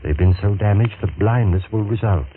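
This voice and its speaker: An actor playing a smooth, vindictive middle-aged doctor in an old radio thriller, speaking in a measured, insinuating, menacing way. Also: male voice, fairly low pitch, lightly acted. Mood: controlled, professional. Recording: tinny, noisy vintage radio recording.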